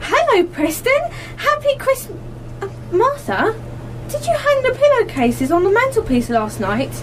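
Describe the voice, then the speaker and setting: Woman acting a very high-voiced, fond dog owner. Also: sing-song, a little slow, exaggerated voice. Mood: excited. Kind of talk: amateur radio play acting. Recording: noisy live amateur radio broadcast recording.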